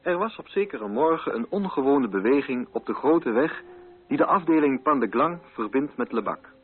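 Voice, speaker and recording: A man's voice: mid-range voice, lively intonation, moderate pace, breathy edge. Male narrator on thin old radio. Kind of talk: scripted literary narration for a radio play. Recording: narrow-band old radio recording.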